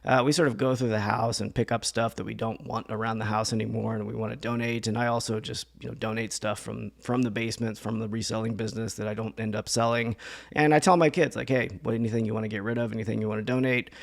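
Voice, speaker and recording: Hoarse, gravelly man chatting casually. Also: fairly low pitch, steady tone, quick pace. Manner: rambling, chatty, many fillers and restarts. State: hoarse, losing his voice. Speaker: reseller vlogger. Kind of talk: casual talk to camera.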